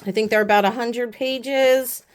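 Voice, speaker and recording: A woman's voice: on the high side, steady tone, a little slow, clean tone. A woman vlogger, sick with the flu, chatting casually and rambling with lots of fillers while unboxing a haul. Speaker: beauty vlogger.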